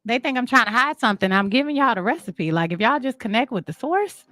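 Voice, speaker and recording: A woman in her thirties chatting informally as a talk show guest, telling stories in an animated way. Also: a little high, fast talker, dry recording. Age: 30s. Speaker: talk show guest, marketing and branding entrepreneur. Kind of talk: casual interview chat.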